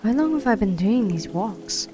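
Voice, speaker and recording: An actress playing a young, easygoing college student in an audio drama, with a conversational manner. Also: female voice, medium pitch, lively intonation, moderate pace, music in the background, natural voice. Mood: casual, reassuring. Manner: casual, conversational.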